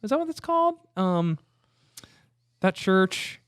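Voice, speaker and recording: A man chatting casually as a podcast co-host, telling stories in an informal, halting way and acting out the dialogue. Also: high-pitched, sing-song, a bit bright, no room sound, studio quality. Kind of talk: casual conversational storytelling.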